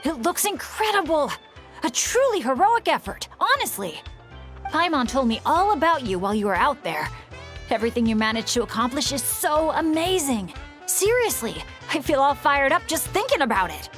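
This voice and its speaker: A woman's voice: high voice, sing-song, normal speed, fairly bright tone, soft background music. A woman voicing an earnest, polite and encouraging young adventurer in a video game, sincere and courteous. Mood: admiring.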